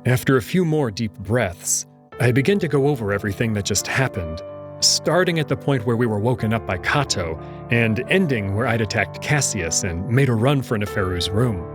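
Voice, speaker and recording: Casting: male story narrator, dramatic first-person reading, expressive and varied, instrumental music underneath. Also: mid-range voice, moderate pace. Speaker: narrator and reader.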